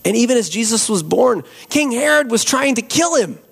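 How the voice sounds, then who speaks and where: A hoarse man preaching a Christmas Eve sermon and leading prayer as a pastor, slow and earnest. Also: very high voice, lively intonation. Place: church.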